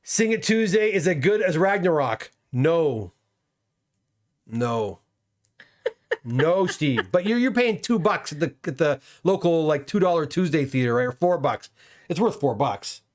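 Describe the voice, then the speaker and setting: A man's voice: fairly high pitch, very animated, a little slow. A middle-aged man hosting a casual livestream chat, reading viewer comments aloud and reacting to them. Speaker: host.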